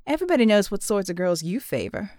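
A woman narrator acts a tough, flirtatious woman who runs a bar. Her voice is mid-pitched and dry in the recording, teasing one moment and bossy the next, with menace under the sass. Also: sing-song, fast, darkish tone, no echo, lightly acted. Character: sassy, flirtatious, tough female bar owner.

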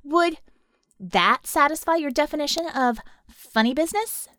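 Lady doing a bold teasing girl, shouting high and loud, super lively. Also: moderate pace, dry recording, studio quality. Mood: brash teasing. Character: cheeky, daring young masked woman shouting.

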